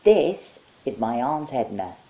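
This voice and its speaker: A man's voice: medium pitch, sing-song, slow pace, warm tone, lo-fi sound, pitched above the performer's own voice. A man voicing a genial, chatty monkey who plays the polite office host in a story. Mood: proud, explaining.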